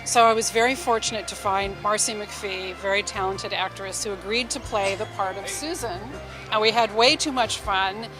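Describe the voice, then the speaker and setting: An enthusiastic woman with a mid-pitched voice talks as a guest in an interview. She tells her stories effusively and conversationally, with music clearly playing behind her. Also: moderate pace, rough recording. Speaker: novelist and radio drama writer, interview guest.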